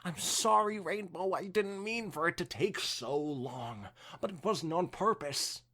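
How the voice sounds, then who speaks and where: A woman's voice: fairly low pitch, unhurried, fairly bright tone. A woman voicing an earnest, bookish female pony who explains herself in a flustered, wordy way in a fanfiction reading. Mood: apologetic, defensive. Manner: emphatic, defensive, wordy explaining.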